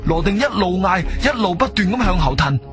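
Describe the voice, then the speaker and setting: A man's voice: high-pitched, lively intonation, brisk, music in the background. A man with a Cantonese accent narrating a radio drama in a colloquial, suspenseful storytelling manner.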